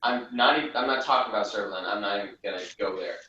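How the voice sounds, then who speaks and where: A man giving casual, critical opinions on a TV show in a hesitant, halting way. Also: on the low side, sharp tone. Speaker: podcast reviewer. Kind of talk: casual TV review chat. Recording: roomy, distant, slightly muffled.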